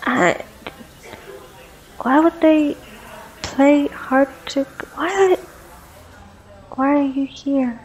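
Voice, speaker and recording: A woman's voice: high-pitched, exaggerated voice, rough recording. A woman playing an anxious, socially awkward young woman with a crush in an ASMR roleplay, stammering and rambling nervously. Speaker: audio roleplay performer. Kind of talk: ASMR girlfriend roleplay acting.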